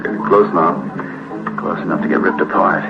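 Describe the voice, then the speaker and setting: A man's voice: sits low, steady tone, moderate pace, vocal fry. An actor playing a tense crewman in danger in an old television adventure drama. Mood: tense, urgent. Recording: muffled old clip, loud music. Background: loud music.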